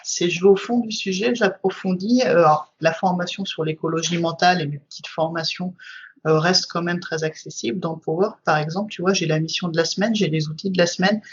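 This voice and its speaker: A woman's voice: on the low side, steady tone, a little fast, muffled recording, slight background noise. A woman, a guest trainer, explaining her subject at length in casual conversation, with frequent fillers.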